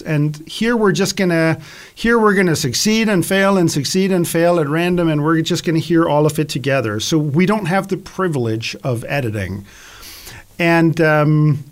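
Rambling man with a higher voice. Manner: rambling, restarts a lot. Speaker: host. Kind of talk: casual podcast chat.

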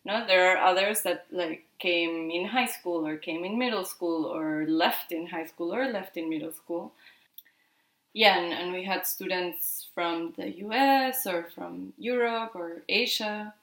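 A woman's voice: fairly low pitch, a little slow, fairly bright tone, reverberant. A woman in her twenties from Peru, Spanish her first language, chatting casually as an interview guest and often tagging sentences with "no?". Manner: chatty, lots of "like" and tag "no?". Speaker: podcast guest.

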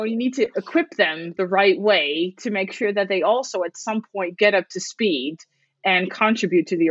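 A woman's voice: lowish voice, no echo, lo-fi sound. A woman whose first language is Dutch, a podcast guest chatting thoughtfully with frequent restarts and fillers. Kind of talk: casual interview chat.

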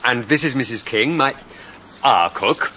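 An actor playing a courteous, devoted English country gentleman in a radio drama, tender and gentle in manner. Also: medium pitch, expressive, measured pace, slight background noise. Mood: proud, courteous. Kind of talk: period radio drama acting. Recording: dull recording with music behind. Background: music.

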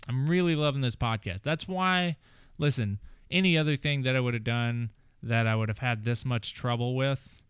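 A man's voice: mid-range voice, moderate pace, clean tone, dry recording, clean studio sound. A man giving a rambling podcast intro, full of fillers. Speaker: podcast host.

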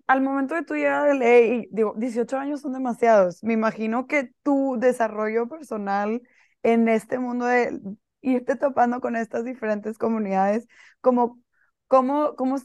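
A woman hosts an interview, her fairly high voice recorded close and dry with no room sound. She asks long, winding questions with friendly interest. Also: a little mellow, no echo. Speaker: host. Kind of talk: casual interview chat.

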